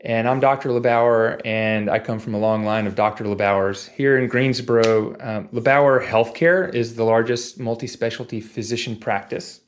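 A man's voice: medium pitch, moderate pace, few pauses. A man in his forties telling stories casually as a podcast guest, rambling and digressing with jokey asides. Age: 40s. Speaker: podcast guest, physical therapist. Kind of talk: casual storytelling in a podcast interview.

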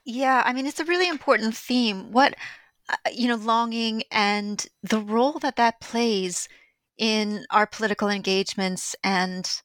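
Woman interviewer, measured and scholarly, mid-pitched, dry close sound. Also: a bit bright, no room sound. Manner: measured, long carefully framed questions.

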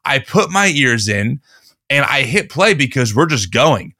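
A man with a slightly hoarse voice hosts a casual podcast chat, telling a long story with relaxed restarts. His tone is bright and forceful. He is recorded close on a dry studio mic. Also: mid-range voice, a little slow, no room sound. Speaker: podcast host.

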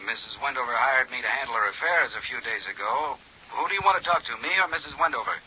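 A man playing a big, easygoing American accountant in his late forties in a 1950s radio drama, husky and chatty, with a folksy, rambling manner. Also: mid-range voice, fast talker, a loud crowd, close to an everyday voice. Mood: guarded. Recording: dull, muffled 1950s radio recording.

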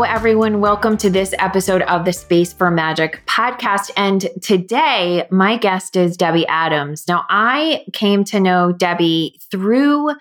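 A woman hosting a podcast, speaking in a thoughtful, measured way. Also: medium pitch. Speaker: podcast host, coach. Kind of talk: podcast intro and interview.

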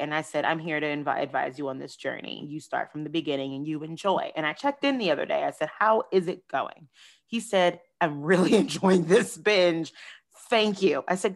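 A woman hosting a podcast in a casual, playful, animated way, calling listeners "y'all" and "honey.". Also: low-pitched, normal speed. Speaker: podcast host. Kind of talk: casual chat.

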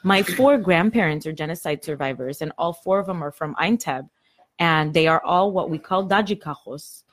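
A woman's voice: sits low, animated, normal speed, slight background noise. A middle-aged woman chatting casually as a host in a group conversation, laughing easily as she tells stories. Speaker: group moderator on a talk show.

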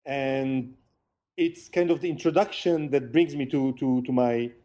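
A middle-aged man from Lebanon, an author and professor interviewed remotely from home, speaking in a measured way and pausing often to find words. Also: mid-range voice, fairly even intonation, mellow, dull audio. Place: home in a mountain village.

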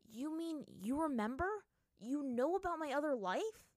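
Casting: woman playing impatient male fantasy hero, high-pitched, forceful, exasperated, demanding. Storybook read. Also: very animated, unhurried, no echo, studio quality. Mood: angry, surprised. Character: impatient, hot-headed male Avatar, demanding answers.

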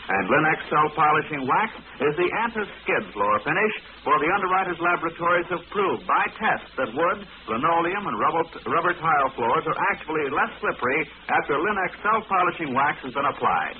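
A man's voice: fairly high pitch, animated, a little fast, hardly any pauses. A man reading a scripted 1940s radio advertisement as announcer, with a polished, emphatic sales delivery. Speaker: commercial announcer. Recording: narrow-band, faintly noisy old radio recording.

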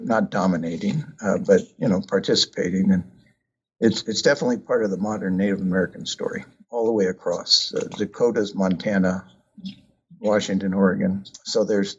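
Low husky man, halting delivery. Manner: hesitant, many ums and uhs. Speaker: author discussing his book. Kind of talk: casual conversational talk. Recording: dull lo-fi sound.

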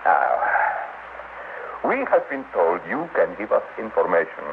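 An actor playing a fawning, wily middle-aged Mexican mayor with a Spanish accent, hesitant and ceremoniously polite, in classic radio drama style. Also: male voice, low-pitched, sing-song, measured pace, vocal fry, full character voice. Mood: officious. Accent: Spanish-accented English. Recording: noisy old dull radio recording.

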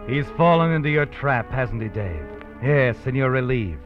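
Omniscient, needling suspense narrator, man acting with very animated pitch, classic radio delivery, music behind, muffled vintage sound. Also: moderate pace, lightly acted. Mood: knowing, ominous. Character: knowing, sardonic omniscient narrator taunting the protagonist. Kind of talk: old-time radio suspense narration in second person.

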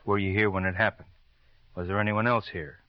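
A man's voice: fairly low pitch, fairly even intonation, a little fast, no echo, lightly acted. A man playing a blunt, impatient police detective in a vintage radio drama, questioning insistently in clipped phrases. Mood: coaxing. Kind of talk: 1940s-50s radio drama acting. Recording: muffled old radio recording, dry studio.

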